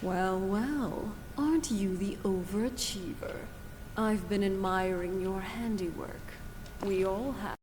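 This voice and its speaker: Self-assured female shady group leader, played by an actress, video game dialogue, measured and teasing, clear background noise. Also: medium pitch, natural voice. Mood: sly, teasing. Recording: noisy game capture.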